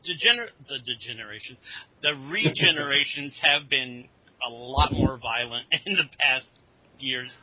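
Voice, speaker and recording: A man's voice: mid-range voice, normal speed, no room sound. Podcast guy chatting casually, stop-start delivery, very dry sound, kinda dull lofi. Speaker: podcast co-host. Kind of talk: casual chat about TV.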